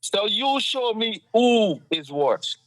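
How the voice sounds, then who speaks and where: A fired-up man with a Guyanese accent, talking politics in a loose, casual way and piling up rhetorical questions. His voice sits a bit high for a man. It is recorded close and dry, with no room sound. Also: big pitch swings, slow pace, fairly bright tone, no echo, rough recording. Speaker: talk show host.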